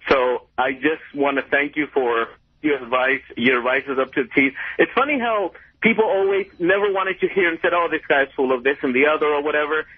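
A man's voice: fairly high pitch, brisk, slightly rough. A man calling in to a radio show by phone, talking casually. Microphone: telephone. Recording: tinny phone line on radio.

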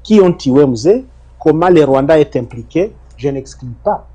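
A man from the Democratic Republic of the Congo, an interview guest, answering in an insistent way with emphatic rises and low trailing ends. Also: animated, measured pace, mellow, breathy edge. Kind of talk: defensive testimony in an interview.

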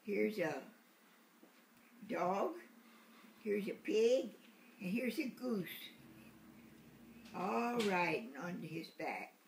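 Elderly woman, great-grandmother reading to a baby, slow pace, playful, roomy living-room recording. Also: mid-range voice, expressive, vocal fry. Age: 80s. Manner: playful, repeating words slowly for a small child. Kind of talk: animated picture-book read-aloud with asides. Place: living room sofa, indoors. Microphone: handheld camera a short distance away. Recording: roomy home recording with background noise.

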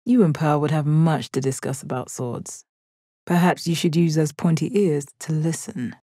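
A calm alien warrior woman for a fighting video game. The voice sits fairly low and speaks in a measured, formal, deadpan way. She sounds confident and kind beneath the stoicism, recorded very dry with no room sound. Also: no echo. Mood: encouraging, confident. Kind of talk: video game character voice lines.

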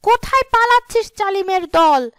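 A woman's voice: very high voice, lively intonation, normal speed, fairly bright tone, no room sound, clean studio sound, pitched above the performer's own voice. A woman voicing a bossy, threatening female ghost in a cartoon fairy tale, scolding and giving orders. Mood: menacing, scolding. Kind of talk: Bengali cartoon fairy-tale character acting.

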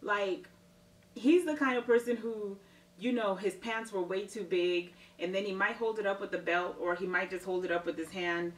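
A woman's voice: medium pitch, normal speed. A woman in her thirties giving a casual, emphatic book review from her living room couch, often checking in with "okay?". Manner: animated, emphatic, tags sentences with "okay?". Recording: roomy, distant mic.

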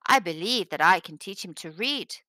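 A woman voicing a calm, clever, gently sly village wise man in a storybook, soft-spoken and assured. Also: lowish voice, moderate pace, no room sound, poor audio. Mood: calm, confident. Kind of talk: storybook character voice.

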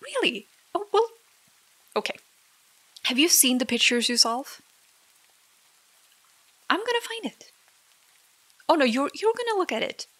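A woman playing a jealous, hurt girlfriend in an ASMR roleplay argument, halting and exasperated, with sarcastic edges. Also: sits high, sing-song, a bit bright, no room sound, exaggerated voice. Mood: sarcastic, upset. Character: jealous, hurt young girlfriend arguing with partner. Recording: dry close mic, rain behind. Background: rain.

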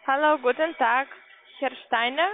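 High-voiced foreign woman on tinny phone. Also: a little slow. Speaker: call centre agent. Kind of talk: sales phone call. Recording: tinny narrow phone line.

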